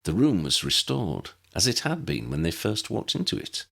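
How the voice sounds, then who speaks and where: Deep-voiced man narrating a story. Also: big pitch swings, normal speed, gravelly, a bit bright. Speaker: audiobook narrator.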